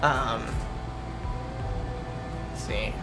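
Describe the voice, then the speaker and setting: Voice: medium pitch, steady tone, very slow. A young adult VTuber streamer chatting casually and answering viewer questions, rambling with lots of ums and the odd swear. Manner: rambling, hesitant, many fillers, mild swearing. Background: music playing.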